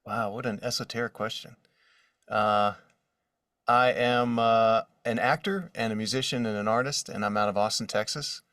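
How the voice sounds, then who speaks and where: A man in his forties speaking casually as an interview guest, telling stories in an animated manner from his studio. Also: fairly low pitch, normal speed, no room sound, far from the mic. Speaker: guest, voice actor. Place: his own voiceover studio.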